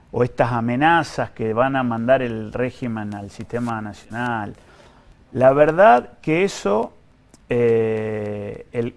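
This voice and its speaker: A man's voice: mid-range voice, creaky. An Argentine man answering in an interview as an official, explaining in a hesitant way and often repeating himself. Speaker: guest, pension fund director.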